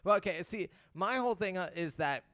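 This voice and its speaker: A man's voice: high-pitched, animated, fairly quick, fairly bright tone, clear, resonant tone, no echo, clean studio sound. Rambling young man hosting a podcast. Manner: loose, rambling, lots of fillers. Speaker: podcast host. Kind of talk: casual chat on a live stream.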